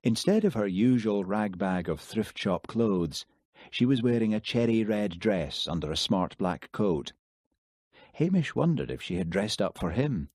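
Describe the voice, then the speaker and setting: A man narrating a novel in a calm, measured way, his voice on the low side. The recording is close and dry, with no room echo. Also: slightly rough. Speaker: audiobook narrator. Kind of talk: scripted narration.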